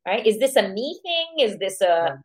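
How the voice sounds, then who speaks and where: A woman's voice: mid-range voice, very animated, moderate pace, darkish tone. A woman born in Iran and raised in America, a psychologist guest, reflecting on her life and thinking aloud with questions to herself. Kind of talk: reflective personal storytelling.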